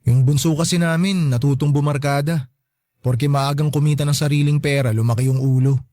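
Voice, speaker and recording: A man's voice: a little high, steady tone, brisk, dark tone, no room sound, lightly acted. Man acting as wounded young son, dry studio sound. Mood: resigned, exasperated. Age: young adult. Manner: plaintive, respectful but reproachful. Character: resentful, neglected grown son, plainspoken and wounded. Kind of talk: emotional radio drama acting.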